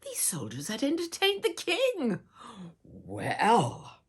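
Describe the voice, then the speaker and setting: A woman performing a children's storybook in a theatrical, dramatic way, with hushed asides and doting warmth toward her listeners. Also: a little high, wildly swooping pitch, slow pace, husky. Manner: addresses listeners with doting endearments. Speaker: storybook reader and host.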